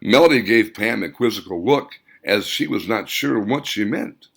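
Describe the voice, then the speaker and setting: A man reading his own novel as an audiobook, with dramatic, expressive storytelling. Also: fairly low pitch, moderate pace, creaky, husky. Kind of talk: scripted audiobook narration.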